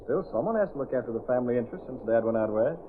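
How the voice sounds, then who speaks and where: Man playing genial young gentleman, old radio serial, brisk, animated intonation, sensible, thin recording with faint noise. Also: mid-range voice, phone-quality sound, close to an everyday voice. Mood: genial, measured. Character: genial, sensible wealthy young male publisher. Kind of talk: 1930s-40s radio drama acting.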